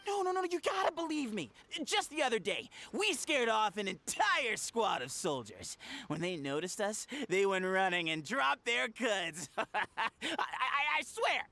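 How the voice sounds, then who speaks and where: A man's voice: high voice, big pitch swings, unhurried, vocal fry, pushed and bright, heavily acted. An actor voicing a nervous, boastful petty thief in a video game, stammering and pleading as he babbles excuses. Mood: boastful, excitable.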